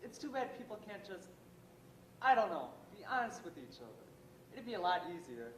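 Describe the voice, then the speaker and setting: A man's voice: sits high, big pitch swings, normal speed, slight background noise. An easygoing, earnest teen boy acted by a young man, youthful in voice. He is heard from a distance in an echoey stage play recording. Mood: earnest, tentative. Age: young adult. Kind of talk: amateur stage play acting.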